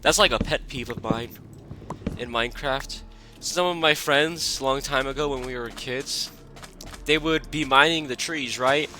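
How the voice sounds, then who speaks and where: Raspy-voiced sick young man gaming. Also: mid-range voice, a little slow, a little hiss or hum. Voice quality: raspy and stuffed-up. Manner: rambling and relaxed, lots of fillers. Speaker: gaming channel host. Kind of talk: casual gameplay commentary. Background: background music.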